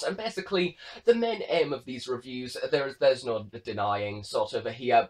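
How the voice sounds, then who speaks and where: Young male vlog reviewer: quick pace, average pitch, eager, wandering, informal delivery. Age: young adult. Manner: enthusiastic, rambling, gesturing. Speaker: host, audio drama reviewer. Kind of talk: casual scripted-free review to camera. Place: small bedroom, indoors.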